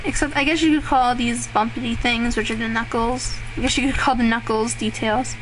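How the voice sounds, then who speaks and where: A woman's voice: on the high side, steady tone, moderate pace. Rambling young woman with a noisy mic. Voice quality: voice turns raspy at times. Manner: rambling and self-deprecating, with frequent fillers and laughs. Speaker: drawing tutorial host. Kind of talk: casual drawing tutorial. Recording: clearly noisy home recording.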